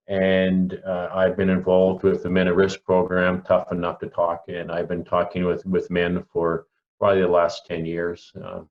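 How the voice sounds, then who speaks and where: A Canadian man in his early sixties giving personal testimony on a panel, reflective and deliberate, repeating himself for emphasis. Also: deep voice, dull audio. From rural Alberta, Canada. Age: early 60s. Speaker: panelist, local pastor.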